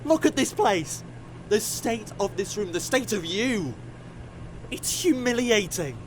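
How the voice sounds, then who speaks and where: An actor playing a bitter, cruel older time-travelling scientist in an audio drama, intense and scornful. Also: male voice, high-pitched, very animated, takes it slowly, noisy background, heavily acted. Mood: scornful rage.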